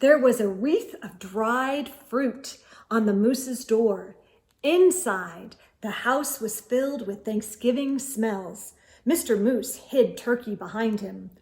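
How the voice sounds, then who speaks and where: Slow, expressive high-voiced woman storyteller. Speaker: storytime host and reader. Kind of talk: scripted children's storybook read-aloud.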